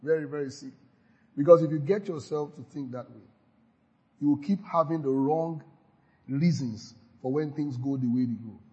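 Husky-voiced pastor exhorting. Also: male voice, a little high, moderate pace, mellow, lo-fi sound. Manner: exhorting, repetitive chanting of declarations, frequent hallelujahs. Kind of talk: sermon with call-and-response declarations.

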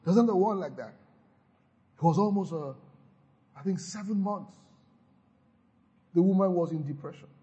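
A man's voice: high-pitched, moderate pace, pauses often, mellow, slightly rough, dull audio. A man preaching a sermon, exhorting his listeners and leading them in repeated declarations with frequent hallelujahs. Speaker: pastor. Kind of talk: sermon with call-and-response declarations.

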